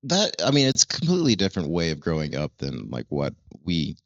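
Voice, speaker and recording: A young man hosting a podcast, rambling through his questions and filling every pause with "like". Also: low-pitched, huge pitch swings, creaky, a bit bright, no echo, lo-fi sound. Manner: rambling, fills with "like" constantly. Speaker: podcast host. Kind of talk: casual chat.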